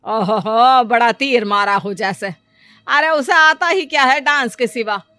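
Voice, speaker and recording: Woman voicing a cartoon mom, middle-aged, emphatic and exclaiming, high voice, bit of music behind. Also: a little slow, sharp tone, smooth, dry recording, clean studio sound. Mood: scornful. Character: scornful middle-aged mother, quick to belittle or panic. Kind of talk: acted cartoon story dialogue.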